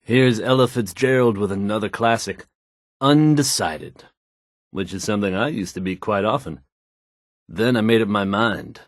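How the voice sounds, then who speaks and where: An actor playing a cool, self-assured young male radio DJ in a game, introducing songs with smooth, showy confidence. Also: lowish voice, moderate pace, no room sound, clean studio sound, natural voice. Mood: confident, suave.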